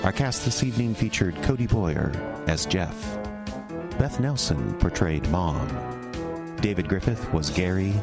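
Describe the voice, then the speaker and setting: Male announcer, low register, scripted radio theatre credits over clear music. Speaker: closing announcer. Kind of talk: scripted audio drama credits.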